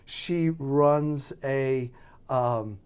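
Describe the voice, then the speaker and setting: An American man being interviewed as a radio drama producer, talking casually and haltingly as he thinks aloud. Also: mid-range voice, a little mellow, smooth.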